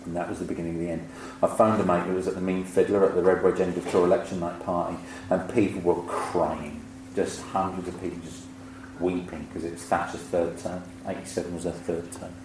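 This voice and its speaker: A man's voice: deep voice, steady tone, fairly quick. A man in his fifties telling stories informally to a live audience, with a sweary, wry, ranting manner. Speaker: comedian speaking on stage. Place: indoor event before an audience. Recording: echoey live room with clear background noise.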